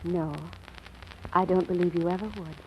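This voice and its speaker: Measured mother on muffled radio. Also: on the low side, steady tone, slightly rough. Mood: gentle, reasoning. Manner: measured, admonishing. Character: firm but soft-hearted mother of a teenage girl. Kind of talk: 1940s radio drama acting. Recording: muffled, slightly noisy old radio recording.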